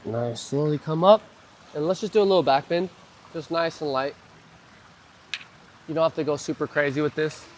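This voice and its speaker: A man leading a guided mobility flow, calmly cueing each move and breath, his voice slightly hoarse as he recovers from a cough. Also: a little high, normal speed, long pauses, slight background noise, rough recording. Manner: calm, gentle cueing of moves and breaths. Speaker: mobility and stretching instructor.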